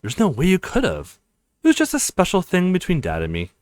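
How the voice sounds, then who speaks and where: A man's voice: high voice, huge pitch swings, a little fast, darkish tone, no room sound, clean studio sound, voice pushed up. A man reading visual novel dialogue as a sentimental, earnest adult sister who is easily embarrassed. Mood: tender.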